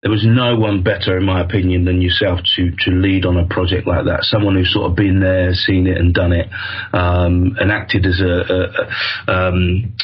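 A man's voice: low voice, level tone, moderate pace, lo-fi sound. A man hosting an interview, hesitant and full of restarts as he leads into his questions. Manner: hesitant, many ums and restarts. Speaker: host. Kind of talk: interview host.

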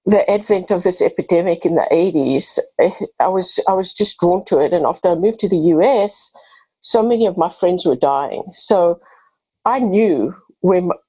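Earnest South African woman in her fifties, husky and low; dry close recording. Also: mellow, no echo, low-quality recording. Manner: earnest, passionate, with restarts. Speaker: pharmacist and podcast host. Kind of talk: interview answers.

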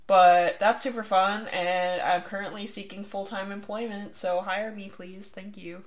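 A woman's voice: medium pitch, steady tone, a little slow. A woman in her twenties with an American accent, chatting casually and playfully as a podcast co-host. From Northeast Ohio, United States. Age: 20s. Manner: playful, mock-formal joking.

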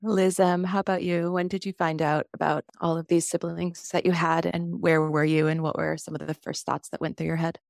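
A woman's voice: on the low side, level tone, fairly quick, creaky, hardly any pauses, a little mellow, dry recording, clean studio sound. A woman hosting a podcast group interview, guiding guests with simple questions in a friendly way. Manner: friendly, guiding questions, self-aware about first time.

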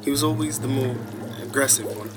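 A man playing a weary, fearful scientist recording a last message in a sci-fi radio drama, grave, formal and deliberate. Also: mid-range voice, steady tone, lightly acted. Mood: grave, pleading. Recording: loud music over recorded tape message.

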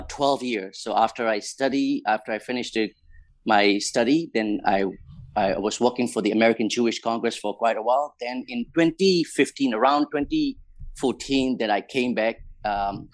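Halting Burmese-accented man, dry sound. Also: medium pitch, no echo. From Myanmar. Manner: hesitant, halting non-native English phrasing. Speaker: interview guest, community leader. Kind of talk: conversational recounting of life and history.

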